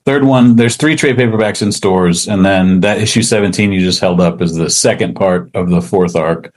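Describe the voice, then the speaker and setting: A man chatting casually as an interview guest, talking about his work in a halting, laid-back way. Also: deep voice, darkish tone. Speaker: interview guest, comic book writer.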